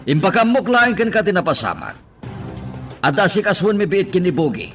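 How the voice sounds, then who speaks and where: A man's voice: sits high, big pitch swings, moderate pace, distant mic, over music. An actor playing a commanding, wealthy man, shaken but controlled, in an Ilocano radio drama. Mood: serious.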